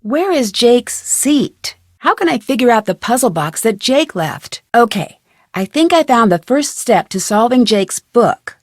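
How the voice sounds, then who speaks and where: A woman's voice: fairly high pitch, big pitch swings, a little slow, darkish tone, no room sound, clean studio sound. A woman playing a curious young female amateur detective in a video game. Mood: curious, friendly.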